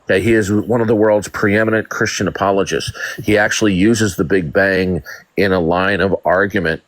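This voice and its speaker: A man with a deep voice hosts a heated call-in debate, talking over callers in a domineering, mocking way and tripping on repeated words. The sound is dry and close. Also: fairly even intonation, normal speed, no echo. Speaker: live-stream debate host.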